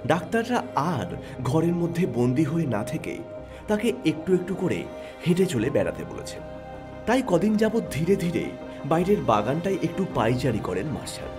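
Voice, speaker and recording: A man narrating a story with lively, expressive swings in pitch. His voice sits slightly high, and music plays clearly under him throughout. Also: normal speed. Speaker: narrator of an audio story channel. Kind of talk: scripted dramatic narration. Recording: narration over background music.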